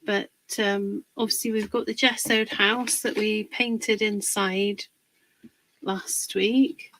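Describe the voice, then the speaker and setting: A woman hosting a casual live craft demonstration, a little husky from a cold, chatting and greeting viewers by name. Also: medium pitch, fairly even intonation, takes it slowly, a bit bright, a little hiss or hum, rough recording. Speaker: craft livestream host.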